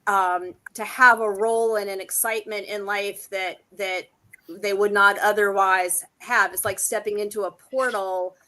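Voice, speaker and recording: A woman speaking earnestly and deliberately in an unscripted discussion. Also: medium pitch, steady tone, bright, forceful tone.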